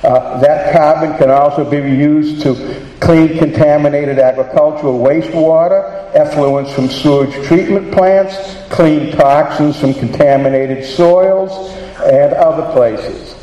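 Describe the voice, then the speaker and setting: A man with a Boston accent speaks at a public hearing, earnest and hurried, stumbling through fillers and restarts. His tone is mellow and dark. The room is echoey, with a long reverb tail. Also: on the high side, muffled recording. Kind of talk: public testimony.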